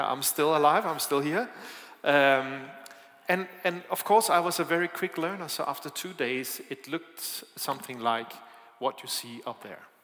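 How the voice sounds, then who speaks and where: A man's voice: a little high, sharp tone. A man from Denmark with a Danish accent preaching a sermon, telling personal stories in a chatty, self-deprecating way and laughing often. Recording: echoey hall with long reverb.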